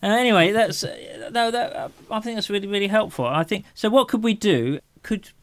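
A man's voice: high-pitched, fairly quick, a bit bright, dry recording. A man co-hosting an informal, reflective discussion, feeling his way through sentences and often restarting them. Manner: hesitant, searching for words, repeating sentence openings. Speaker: podcast co-host.

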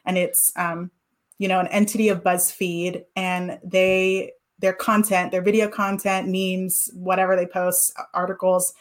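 A woman's voice: on the low side, little pitch movement, a little slow. A woman in her thirties, a guest in an interview, talking thoughtfully about her past with many fillers. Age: 30s. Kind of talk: reflective interview talk.

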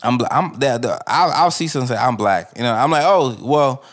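Young male podcast co-host, lively swinging pitch, profane and conversational. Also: brisk. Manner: animated, profane, repeats "you feel me". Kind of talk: casual chat.

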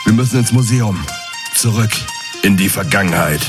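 A man's voice: lowish voice, animated, normal speed, over music. A man playing an agitated townsman hunting clues, urgent and often talking to himself, in a comedic audio drama. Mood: urgent, frustrated.

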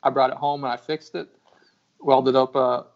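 Man, gravelly voice, relaxed understated storytelling, casual chat, dull lo-fi sound. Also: medium pitch, moderate pace, a little hiss or hum. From lived in Texas. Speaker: guest metal artist. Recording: dull lo-fi podcast audio.

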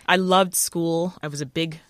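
Expressive woman chatting in an interview. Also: fairly low pitch, normal speed, dry recording. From born in Jamaica, raised in Toronto, Canada. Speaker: radio host being interviewed. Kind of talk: casual conversational storytelling.

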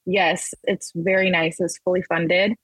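A woman in her thirties gives a relaxed interview and rambles through long answers, stopping and restarting often. Her voice is low for a woman and slightly husky. The sound is dry and close. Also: unhurried, no echo. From Texas, raised in Las Vegas. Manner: rambling, with many restarts and fillers. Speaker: guest artist. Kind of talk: casual interview conversation.

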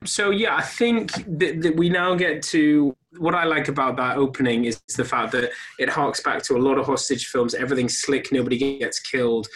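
A man co-hosting a casual film podcast, talking animatedly and jumping into high voices to act out scenes. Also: steady tone, moderate pace, hardly any pauses, a bit bright, low-quality recording. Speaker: podcast co-host.

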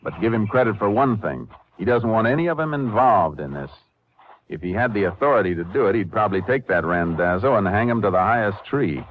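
An actor playing a calm, authoritative Texas Ranger in an old-time western radio drama, measured and firm. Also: male voice, fairly low pitch, close to an everyday voice. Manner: measured, firm, matter-of-fact. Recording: muffled, noisy 1950s radio recording.

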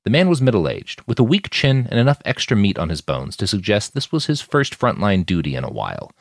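Man, low pitch, hugely varied intonation, scripted fiction narration, dry close studio sound. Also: wildly swooping pitch, brisk, no echo. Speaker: author narrating own fiction podcast.

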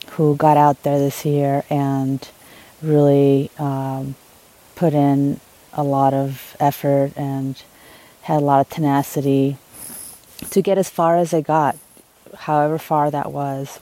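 A woman thru-hiker recording a reflective video diary outdoors, talking in a halting way with many fillers and restarts. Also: sits low, gravelly, warm tone, rough recording. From Los Angeles, USA. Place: outdoors on a long-distance trail.

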